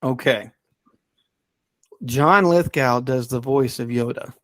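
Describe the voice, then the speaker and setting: A man hosting a casual podcast, talking enthusiastically but haltingly as he searches for names and details. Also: mid-range voice, fairly bright tone, no echo. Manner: enthusiastic about the subject. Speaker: podcast host.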